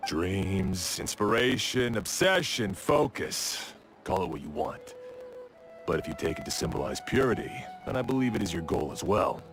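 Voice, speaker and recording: Deep-toned, expressive blacksmith. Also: male voice, normal speed. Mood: earnest, reflective. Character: proud, exacting male blacksmith, gruff but obliging craftsman. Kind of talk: video game voice acting. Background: game music.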